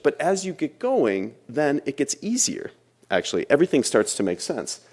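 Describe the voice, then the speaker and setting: A man's voice: mid-range voice, huge pitch swings, moderate pace, darkish tone, breathy edge. A man giving a lecture as a language teacher, explaining step by step and repeating phrases for emphasis. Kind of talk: classroom lecture.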